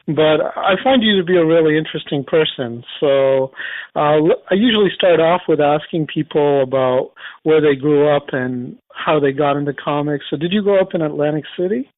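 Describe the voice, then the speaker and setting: A man's voice: on the high side, normal speed, no echo. A man in his forties from Sri Lanka, interviewing a guest in loose, rambling casual chat and apologizing now and then. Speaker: podcast host. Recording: dry, tinny phone-like line.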